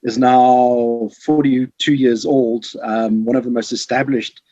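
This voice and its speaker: South African man, slightly husky, muffled audio. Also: mid-range voice, little pitch movement, unhurried, warm tone, no echo. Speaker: podcast guest, safari lodge sales representative. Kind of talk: casual conversational interview. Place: home office in Johannesburg, remote call.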